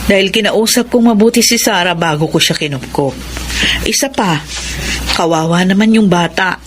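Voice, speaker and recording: A woman's voice: fairly low pitch, moderate pace, slight background noise, close to an everyday voice. A Filipina actress playing a kindly, pious middle-aged mother in a radio drama, earnest and full of concern. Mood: earnest, calm. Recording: music bed under the dialogue.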